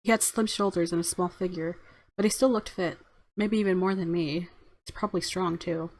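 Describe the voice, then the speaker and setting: A woman's voice: fairly low pitch, steady tone, fairly quick, a little mellow. A woman game streamer reading a visual novel aloud and reacting casually. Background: faint game music.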